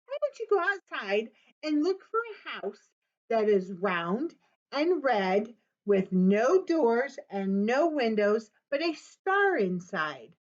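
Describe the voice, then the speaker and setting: A patient, gentle mother who speaks in a hushed tone, slower than the narration, played by a woman reading a storybook. The sound is a dull webcam recording. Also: big pitch swings. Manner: soft, low, hushed.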